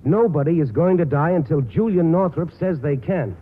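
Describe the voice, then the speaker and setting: An actor playing a stern small-town doctor with a firm, pressing, measured manner in a 1940s radio drama. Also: male voice, a little high, husky. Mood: stern, urgent. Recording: muffled, narrow-band 1940s radio broadcast.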